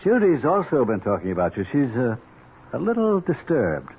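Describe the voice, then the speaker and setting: An actor playing a smug, sardonic uncle with dry, mocking delivery in seventies radio drama. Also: male voice, mid-range voice, huge pitch swings, moderate pace. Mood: needling. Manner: mocking, dry, drawling taunts. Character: sardonic, needling uncle, smugly amused. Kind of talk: 1970s radio drama acting. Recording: tinny old radio broadcast with hiss.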